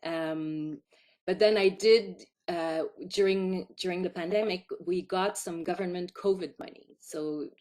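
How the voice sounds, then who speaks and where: Woman, fifties, Quebec French accent, native French speaker, lowish pitch, chatty storytelling, casual interview guest. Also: steady tone, measured pace, darkish tone. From Quebec, Canada. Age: 50s. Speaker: documentary filmmaker being interviewed.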